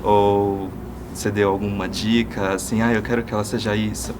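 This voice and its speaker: A man with a mid-range voice asks eager, friendly questions at a press junket. There is clear background noise all around him. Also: on the low side, level tone, moderate pace. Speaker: entertainment interviewer.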